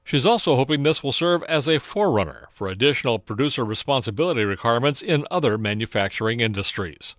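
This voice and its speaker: A man's voice: medium pitch, expressive, fast talker, hardly any pauses, fairly bright tone, no echo. Man, American accent, radio reporter, scripted news narration, close dry sound.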